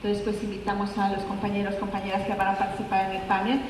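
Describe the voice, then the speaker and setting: A woman hosting a community gathering, welcoming the audience in excited, upbeat remarks. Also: mid-range voice, very fast. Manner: excited, upbeat, addresses the crowd. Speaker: event host. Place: large indoor event hall. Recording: echoey hall, mic far off, room noise.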